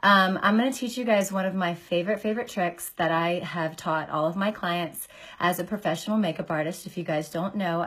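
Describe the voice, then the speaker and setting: A woman in her forties, a makeup artist, chatting through a casual makeup tutorial on a phone camera, her voice deeper as she recovers from illness. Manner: chatty, filler-heavy, greets viewers by name. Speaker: makeup artist and beauty seller. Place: small indoor room. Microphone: phone camera at arm's length.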